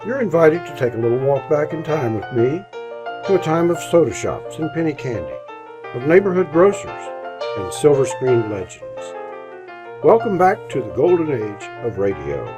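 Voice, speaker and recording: A man hosting and narrating a radio play as theatrical scripted storytelling, with dramatic, drawn-out emphasis. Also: on the high side, big pitch swings, over music. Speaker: host and narrator.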